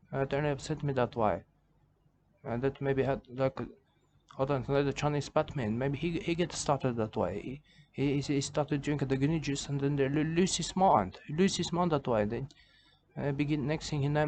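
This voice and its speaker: A man's voice: mid-range voice, a little mellow, a little hiss or hum. Man rambling in broken, repetitive phrases. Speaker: commentator reacting to a video. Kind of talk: rambling comedic commentary.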